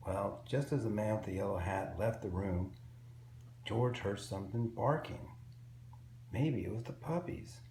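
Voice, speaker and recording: A man reading a picture book aloud to young children in an expressive, simple storytelling way. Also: fairly low pitch, measured pace. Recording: roomy echo with faint background noise.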